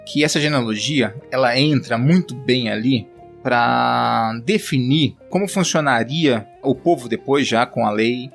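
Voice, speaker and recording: A Brazilian man co-hosting a relaxed Bible podcast, joking and acting out quotes as he talks. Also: mid-range voice, a little slow, vocal fry, soft background music. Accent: Brazilian Portuguese. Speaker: podcast co-host. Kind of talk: casual Bible commentary chat.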